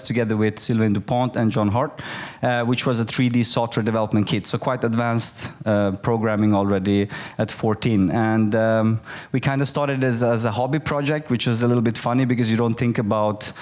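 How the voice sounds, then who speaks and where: A man in his forties from Bosnia, giving a keynote talk to an audience, relaxed and full of fillers and restarts. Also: mid-range voice, a little fast. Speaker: keynote speaker. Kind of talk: conference talk. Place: office event space with audience.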